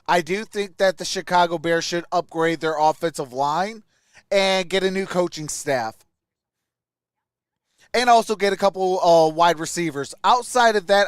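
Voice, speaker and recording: High-voiced, clear male sports streamer. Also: no room sound, clean studio sound. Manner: greets viewers by name, conversational. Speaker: host of a sports livestream. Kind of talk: casual livestream chat and sports commentary, reading and answering viewer comments.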